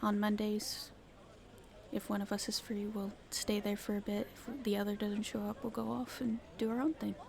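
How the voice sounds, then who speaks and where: A woman playing a cheeky young street thief in an ASMR roleplay, chatting casually and haltingly to the listener. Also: mid-range voice, little pitch movement, vocal fry, a little mellow, close to an everyday voice. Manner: casual, halting speech with hesitations.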